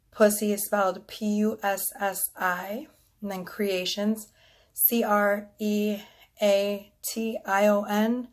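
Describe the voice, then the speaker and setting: Woman with a scratchy stuffed-up voice doing a tarot reading on her phone, lots of ums, mumbles asides. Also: medium pitch. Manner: rambling, apologetic, with frequent ums and whispered asides. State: congested from allergies. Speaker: tarot reader. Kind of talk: casual tarot reading to camera. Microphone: phone camera.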